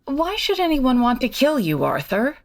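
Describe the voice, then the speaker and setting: A woman acts a worldly 1940s society wife who sleuths in a radio mystery. She is poised and wry, with lively, wide-moving intonation. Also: sits high, moderate pace, full character voice. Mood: puzzled, probing. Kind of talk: period radio mystery acting.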